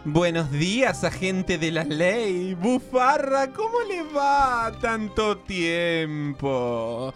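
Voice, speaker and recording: An actor playing a slippery, ingratiating club-running crook with an Argentine accent in comic radio theatre. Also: male voice, high-pitched, lively intonation, drawn-out words, hardly any pauses, fairly bright tone, soft background music, heavily acted. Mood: oily, ingratiating. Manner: ingratiating, evasive.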